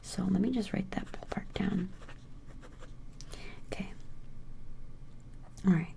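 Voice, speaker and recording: A woman whispering softly and gently in an ASMR personal-attention role play, hesitant and reassuring. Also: mid-range voice, slow. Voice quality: soft breathy whisper with fry. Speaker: ASMR performer playing an appraiser taking measurements.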